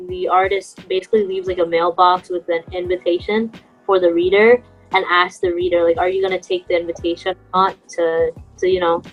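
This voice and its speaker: A woman's voice: fairly low pitch, level tone, a little fast, muffled recording, soft background music. A young adult woman co-hosting a casual podcast among friends, talking in an earnest, self-deprecating, enthusiastic way. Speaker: podcast co-host.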